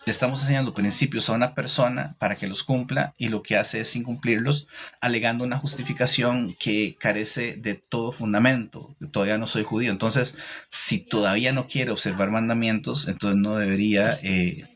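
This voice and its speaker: Low-voiced Costa Rican man, opinionated. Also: steady tone, a bit bright, a little hiss or hum. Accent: Costa Rican Spanish. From Costa Rica. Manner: hesitant pauses with repeated phrases, opinionated and didactic. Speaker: religious teacher hosting a livestream. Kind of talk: informal religious talk answering live comments.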